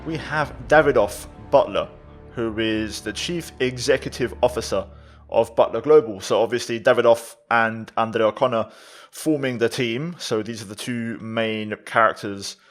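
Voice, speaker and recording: A man's voice: mid-range voice, normal speed. Man commentating casually over faint music. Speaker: gaming video host. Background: faint game music.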